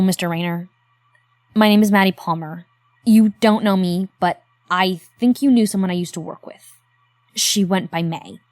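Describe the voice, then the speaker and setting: A woman's voice: medium pitch, a little mellow, no echo, lightly acted. A woman playing a determined young researcher. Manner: persistent, earnest.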